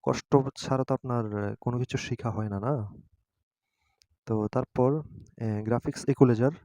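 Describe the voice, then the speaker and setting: A man's voice: medium pitch, steady tone, dark tone, no echo. A man hosting an informal step-by-step app tutorial, speaking hesitantly and often checking that listeners follow. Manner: hesitant, halting, often seeking agreement. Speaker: tutorial host.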